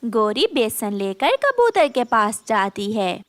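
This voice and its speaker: High-voiced, unhurried woman storyteller. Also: sing-song, dry recording. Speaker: narrator. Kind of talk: scripted children's story narration.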